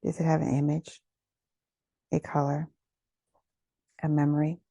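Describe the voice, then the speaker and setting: A woman leading a guided meditation in a gentle, soothing, unhurried manner, with a soft, breathy voice and pauses between phrases. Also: deep voice, little pitch movement, dry recording.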